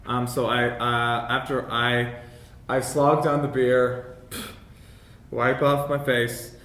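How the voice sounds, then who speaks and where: A man's voice: little pitch movement, fairly bright tone. Hesitant male tabletop gamer, roomy recording. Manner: hesitant, halting, polite. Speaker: player in a tabletop role-playing game. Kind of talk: casual in-character and descriptive game play. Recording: echoey room with faint rumbling noise.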